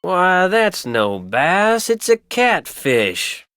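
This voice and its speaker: An actor playing a folksy, easygoing small-town printer who loves fishing, chatty in a video game. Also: male voice, sits high, sing-song, extremely slow, bright, forceful tone, smooth, no echo, clean studio sound, heavily acted. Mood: amused, correcting. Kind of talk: video game character acting.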